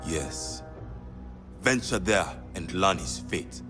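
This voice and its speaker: A man playing a grave, measured spymaster with a solemn, authoritative manner in a video game cutscene. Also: low voice, lively intonation, music in the background. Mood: commanding. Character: grave, measured male spymaster giving orders.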